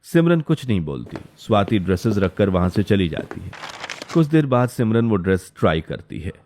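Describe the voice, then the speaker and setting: A man's voice: on the low side, sing-song, moderate pace, darkish tone, slight background noise. A man narrating a scripted story as its storyteller.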